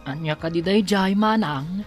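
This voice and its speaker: Simple, bashful household helper for radio drama, hesitant and good-hearted. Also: male voice, sits high, unhurried, faint music behind. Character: shy, simple-minded, good-hearted male servant. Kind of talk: Filipino radio drama acting.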